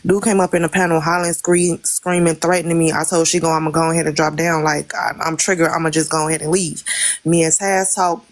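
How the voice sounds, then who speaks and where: A woman's voice: low voice, level tone, fairly quick. A woman guest on a live stream, recounting events in an emphatic, storytelling way.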